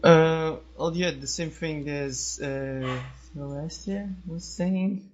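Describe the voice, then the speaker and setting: A man giving opinions as a podcast guest in a halting, hesitant way, searching for words. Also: fairly high pitch, a little hiss or hum. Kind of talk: casual discussion of opinions. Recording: dull, lo-fi voice-chat audio.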